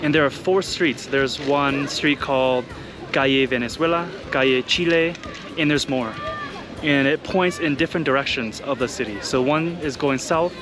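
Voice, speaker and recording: A man from Thailand hosts a casual travel vlog outdoors in a busy city, speaking into a handheld camera. His voice sits at an ordinary middle pitch, and his delivery is loose and chatty, peppered with "like" and "you guys". Clear background music plays under him throughout. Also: normal speed. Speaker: travel vlogger.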